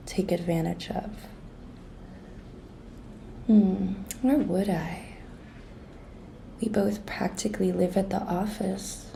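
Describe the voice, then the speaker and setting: Slow, whispery, flirty office intern played by a woman. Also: medium pitch, dark tone, slight background noise, low-quality recording. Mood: teasing, bargaining. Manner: breathy, coy, slow and deliberate, often dropping to a whisper. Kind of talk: whispered ASMR roleplay.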